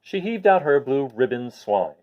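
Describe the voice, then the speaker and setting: A man reading a rhyming picture book aloud to young listeners in an expressive way. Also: mid-range voice, a little slow, dull audio. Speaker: children's story reader.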